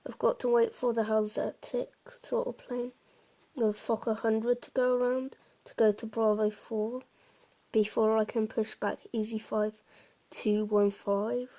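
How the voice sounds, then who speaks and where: A woman's voice: fairly high pitch, flat delivery, a little slow, dark tone. A woman giving a casual gameplay commentary, explaining things in an informal, meandering and slightly hesitant way. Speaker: presenter of a game walkthrough.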